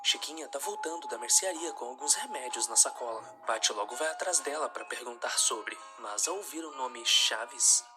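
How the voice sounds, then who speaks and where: A man reading a scripted narration of a dark story. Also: mid-range voice, animated, normal speed, hardly any pauses, over music.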